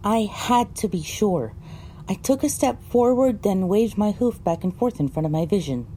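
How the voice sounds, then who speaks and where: A woman reading scripted first-person audiobook narration in a measured, dramatic storytelling manner. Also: medium pitch, expressive, mellow, slight background noise, lightly acted, rough recording. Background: music.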